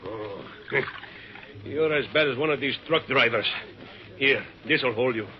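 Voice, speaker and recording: A man's voice: mid-range voice, measured pace, close to an everyday voice. An American man acting a harried, good-natured, slangy diner owner in a 1950s radio drama. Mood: bantering. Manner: folksy, slangy. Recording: dull old radio recording, crowd behind.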